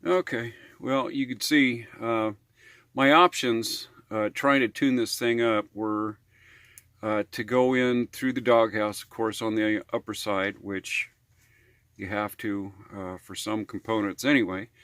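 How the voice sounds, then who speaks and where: A man's voice: on the low side, steady tone, measured pace, fairly bright tone, no room sound. Folksy American man rambling casually, dry recording. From United States. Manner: folksy, rambling, homespun slang. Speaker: vlogger on RV living and repairs. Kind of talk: casual unscripted vlog talk.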